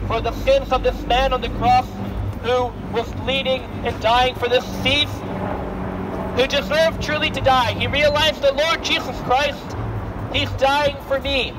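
A young man with a strikingly high voice preaches on a city street. He projects earnestly in a declamatory sermon style, repeatedly addressing the listener. Heavy traffic noise surrounds him. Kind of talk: open-air gospel sermon. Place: outdoors on a busy city sidewalk.

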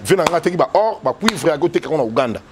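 A man's voice: on the high side, animated, quick pace, background noise. A middle-aged man with a Congolese accent, a former soldier and politician, talking emphatically in an interview. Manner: emphatic, gesturing, switching French and Lingala. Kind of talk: animated political talk. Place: indoors by a yellow wall. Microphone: furry handheld mic held close.

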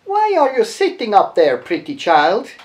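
Man playing a high, coaxing storybook jackal. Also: huge pitch swings, a little slow, heavily acted. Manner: pleading, cajoling, exclaiming. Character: coaxing male jackal from an African folk tale.

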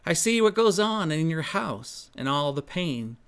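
Figure: a man doing a talking male raven in a told story. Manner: calm, measured, knowing. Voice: low-key and soft, close to the storyteller's own. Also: clear, resonant tone, heavily acted.

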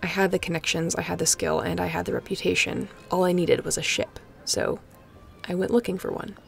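A woman playing a guarded, wry spaceship captain in an intimate sci-fi audio roleplay, with a gravelly voice and dry, teasing, conversational delivery. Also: fairly low pitch, fairly even intonation, brisk, soft background music. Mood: reflective storytelling. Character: guarded, wry female spaceship pilot captain, softening toward an old friend.

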